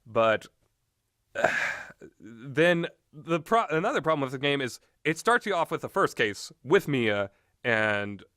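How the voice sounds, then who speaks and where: A man's voice: medium pitch, sing-song, bright, forceful tone, no room sound, clean studio sound. Blunt, rambling man with opinions. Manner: blunt, exasperated, sweary, halting. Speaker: video game reviewer. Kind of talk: rambling, opinionated casual commentary.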